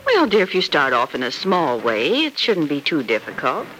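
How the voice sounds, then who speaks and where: A woman's voice: low-pitched, moderate pace, exaggerated voice. An actress playing a solicitous, supportive middle-aged American mother in an old radio soap opera. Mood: encouraging. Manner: solicitous, expressive. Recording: noisy vintage radio drama recording.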